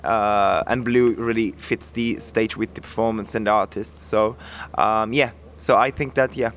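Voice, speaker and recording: A man's voice: fairly low pitch, fairly even intonation, a little slow, fairly bright tone. A man from Turkey with a Turkish accent, giving a casual review and often searching for words. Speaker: reviewer, co-presenter. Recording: faint background ambience noise.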